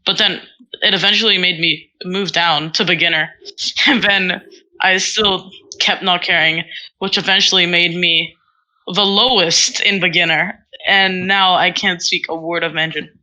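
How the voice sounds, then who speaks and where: Bright-voiced teen girl rambling on. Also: lowish voice, steady tone, measured pace, low-quality recording. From Singapore. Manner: rambling, self-mocking storytelling with many fillers. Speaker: podcast co-host. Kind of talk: casual chat.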